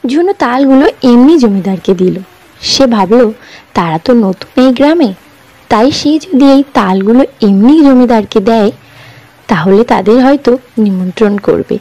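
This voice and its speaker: A woman's voice: fairly high pitch, lively intonation, moderate pace, mellow, poor audio. A woman narrating a scripted children's story as the narrator.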